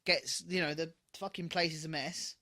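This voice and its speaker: A man co-hosting a re-watch podcast in casual chat, animated and prone to acting out bits of dialogue as he retells scenes. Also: on the high side, normal speed. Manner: animated, often breaks into laughter, swears casually. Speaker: podcast co-host.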